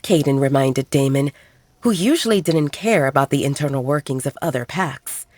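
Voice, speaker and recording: A woman's voice: very deep voice, expressive, normal speed, darkish tone, no echo. A woman reading scripted fiction as an audiobook narrator.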